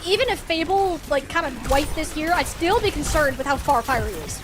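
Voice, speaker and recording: A woman's voice: high voice, animated, fairly quick, background noise. A woman giving live esports commentary and casual game analysis, opinionated and colloquial in how she talks.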